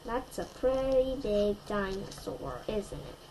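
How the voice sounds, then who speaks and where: A woman storyteller reading a children's picture book aloud in an expressive way, addressing young listeners. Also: medium pitch, takes it slowly, slight background noise, low-quality recording.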